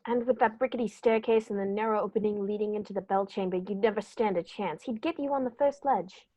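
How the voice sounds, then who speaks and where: A woman's voice: mid-range voice, brisk, dark tone, natural voice, rough recording. A woman playing a stern, authoritative male detective in an old-style radio play, speaking formally. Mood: grave. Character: stern, commanding male detective, calm and righteous.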